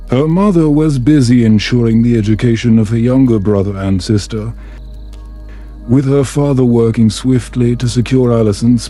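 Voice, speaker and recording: A man narrating a fantasy bedtime story in a calm, soothing, measured way. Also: medium pitch. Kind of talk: calm scripted fantasy audiobook narration for sleep.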